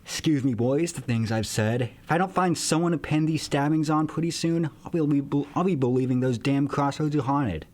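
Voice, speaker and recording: Man acting blunt, exasperated police captain, higher voice. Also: normal speed, few pauses, darkish tone, close to an everyday voice. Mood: frustrated. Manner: blunt, interrogating. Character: gruff, accusing male police captain, exasperated and commanding. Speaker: amateur student actor. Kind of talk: radio play acting.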